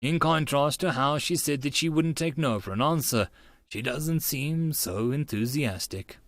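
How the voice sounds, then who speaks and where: A man's voice: medium pitch, unhurried, a bit bright. A man reading scripted first-person story narration as an audiobook narrator, in a dramatized style.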